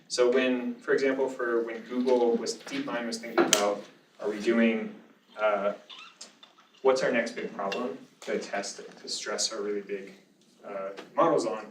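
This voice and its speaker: A man speaking informally as a lecturer answering questions after a talk, in a casual, conversational way. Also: medium pitch. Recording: roomy lecture sound, distant mic, faint noise.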